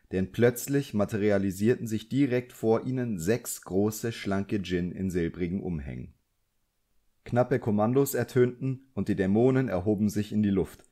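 A man with a low voice narrates an audiobook. He reads scripted fiction as a storyteller. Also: dark tone.

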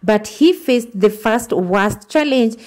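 Woman, gossip-channel host, slightly high voice, halting read with fillers. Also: animated, darkish tone. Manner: reads quoted posts aloud with frequent fillers and restarts. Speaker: entertainment news narrator. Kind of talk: read-aloud celebrity gossip narration.

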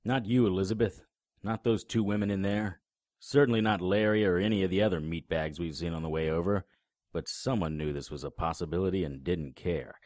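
Actor as a deep-voiced hard-boiled private eye. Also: male voice, fairly quick, a little mellow, dry recording, studio quality, lightly acted. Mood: sardonic. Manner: sardonic, terse. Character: cynical, hard-boiled male private investigator, tough and sardonic. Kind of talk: audio drama acting with noir narration.

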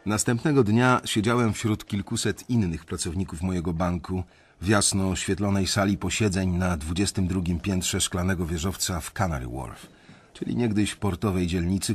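Niski, lekko ochrypły bankier-narrator. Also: male voice, sits low, breathy edge. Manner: reflective, confiding. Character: weary young male banker confessing his story. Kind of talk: first-person radio drama narration.

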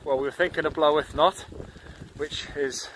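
Wind noise runs clearly through this phone recording of a British man out walking. He talks casually to the camera in a slightly higher than usual male voice. It is an informal outdoor hiking vlog, held at arm's length. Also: fairly even intonation, normal speed. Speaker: hiking vlogger. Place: outdoors on woodland and open windy fells. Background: wind buffeting the mic.

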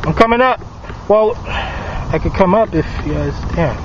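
A high-pitched man calls out quick, clipped directions to teammates on an open field. The sound is dull and lo-fi from a body camera, with steady outdoor noise and wind behind him. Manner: quick terse callouts, laughs often. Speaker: airsoft player filming. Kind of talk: casual game chatter.

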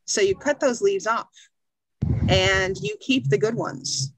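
A middle-aged American woman teaching an English class over a video call, speaking slowly and encouragingly from a small room at home. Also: medium pitch, fairly bright tone, breathy edge, no echo, rough recording. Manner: slow, encouraging, reads a passage aloud. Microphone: video call webcam.

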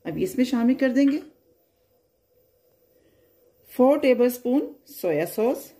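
A woman hosting a cooking channel, giving calm, plain step-by-step recipe instructions over her cooking. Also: on the high side, slow.

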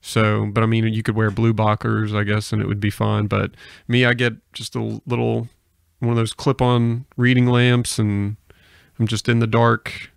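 A man's voice: lowish voice, level tone, normal speed, gravelly, smooth, dry recording. A young man hosting a podcast in casual conversation, speaking close to the microphone. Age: young adult. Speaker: podcast host. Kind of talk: casual chat. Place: small indoor room with bookshelves. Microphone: close podcast microphone on arm.

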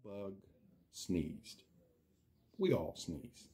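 A man with a mellow, lowish voice reads a picture book to young children. His delivery is theatrical and hugely varied in pitch, with stretched words and dramatic pauses. He talks to the children directly, as a schoolteacher would. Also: sing-song, breathy edge. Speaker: storyteller, reader to young children. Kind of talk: animated children's picture book read-aloud.

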